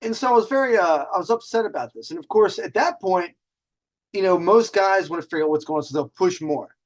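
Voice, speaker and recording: Swaggering male host, slightly higher-pitched voice. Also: expressive, brisk. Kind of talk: casual storytelling monologue.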